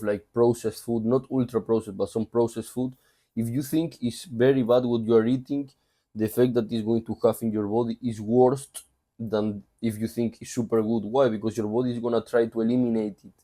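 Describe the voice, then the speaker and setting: A man's voice: mid-range voice, fairly even intonation, brisk, mellow. A man with a Spanish accent summarizing a book in a loose, conversational way, full of asides. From Spain. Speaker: book reviewer. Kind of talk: casual book summary talk.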